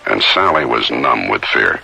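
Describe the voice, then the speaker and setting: A man's voice: rumbling low, lively intonation, moderate pace, vocal fry, very noisy, full character voice. A man narrating a radio adventure as a grave, dramatic storyteller. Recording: old radio broadcast under loud music.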